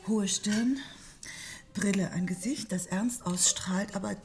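An actress playing a young wife, a patient yet evasive musician, in radio play dialogue. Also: female voice, medium pitch, takes it slowly, background noise. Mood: admiring. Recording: music behind the voice.

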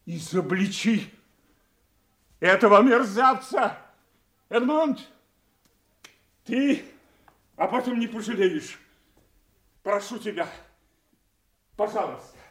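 A man's voice: high voice, expressive, slow, pauses often, exaggerated voice. An older man acting an aging, gullible nobleman father in a classical audio play, emphatic and theatrical, anguished and suspicious. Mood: desperate pleading. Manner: theatrical, emphatic, swinging from hushed to outraged.

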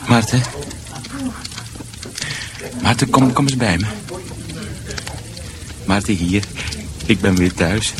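A man's voice: lowish voice, background noise. An actor in a Dutch radio drama playing a confused, deranged man who mutters, halts and repeats himself. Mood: desperate tenderness. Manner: muttering, repetitive, halting. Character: deranged, confused man returning to his ransacked house.

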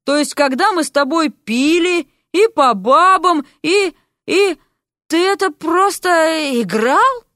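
A woman voicing an excitable, guileless young male warrior in an audio story, eager and exclaiming. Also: on the high side, a little slow, few pauses, dry recording, studio quality. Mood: startled.

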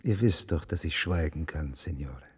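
Ein Schauspieler spielt in einem deutschen Hörspiel einen förmlichen, ausweichenden, selbstgefälligen Beamten mit gemessener, gewichtiger Redeweise. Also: male voice, very deep voice, lively intonation, husky, exaggerated voice. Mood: evasive. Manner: measured, formal, evasive, pompous. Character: guarded, smug magistrate's official, formal and evasive. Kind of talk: German radio play acting. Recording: long reverberant hall.

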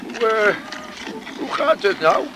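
A man's voice: high voice, animated, takes it slowly. A man acting a fussy, concerned villager in a radio play, stammering and repeating words as he insists. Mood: friendly, probing. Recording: faintly noisy radio play, outdoor sounds.

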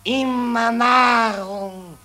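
A man's voice: extremely high pitch, steady tone, extremely slow, bright, forceful tone, exaggerated voice. A man voicing a gloating carrion raven, chanting mocking verse in a declamatory 1940s radio drama style. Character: gloating carrion ravens feasting on war dead.

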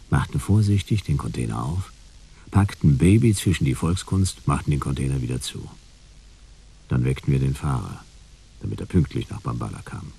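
A man's voice: extremely low pitch, gravelly, warm tone, slightly rough, full character voice. An actor playing a laconic detective who narrates his case in a dry, wry way in a radio play. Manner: dry, wry, laid-back. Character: laconic hard-boiled detective telling his own case.

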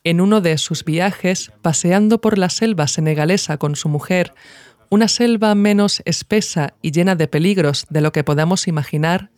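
A woman's voice: fairly low pitch, moderate pace, darkish tone, no echo. A woman from reading scripted documentary narration as the host of an audio podcast.

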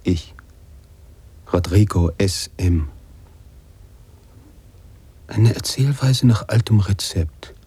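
An actor playing a brooding male writer who narrates his own story in a measured radio-play monologue. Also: fairly low pitch, expressive, mellow, husky, full character voice. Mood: reflective, self-assured.

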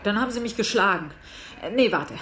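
A mouthy, naive shop woman voiced by an actress with an aggrieved, blunt way of talking. She rattles along quickly at a medium pitch, with faint noise behind her, in a radio crime play. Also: expressive, fairly bright tone, lightly acted. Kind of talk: naturalistic German radio crime comedy acting.

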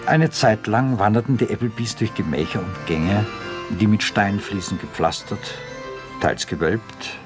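A man narrating a radio drama in a measured, literary storytelling style. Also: lowish voice, over music.

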